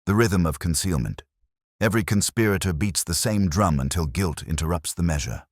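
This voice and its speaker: A man voicing a shrewd, self-assured gentleman detective in an audiobook, with precise, formal pronouncements. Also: deep voice, a little fast, dry recording, clean studio sound. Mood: assured, deductive.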